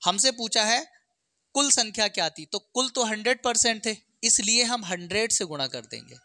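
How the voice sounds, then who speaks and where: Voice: mid-range voice, expressive, normal speed, pushed and bright, no room sound, studio quality. A maths teacher explains exam problems step by step, often checking in with short questions.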